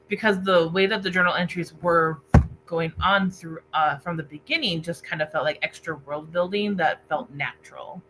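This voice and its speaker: A woman with a bright, crisp-edged voice hosts an informal book discussion on a livestream. She chats casually and relays comments over an internet call, with faint noise and hum behind her. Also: deep voice, rough recording. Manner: informal, reads out viewer comments. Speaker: book club host. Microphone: internet video call.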